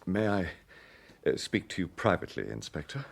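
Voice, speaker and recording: An actor playing an anxious, protective British gentleman in a radio detective drama, speaking in a hesitant, apologetic way. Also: low-pitched, sing-song, normal speed, creaky, dry recording, exaggerated voice. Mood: confessional, embarrassed.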